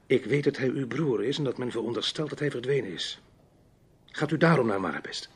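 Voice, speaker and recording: An actor playing a sly, menacing gentleman who speaks with theatrical, teasing courtesy in a Dutch radio drama. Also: mid-range voice, expressive, a little fast, husky. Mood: insinuating, condescending. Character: sly, menacing foreign gentleman lurking in the dark.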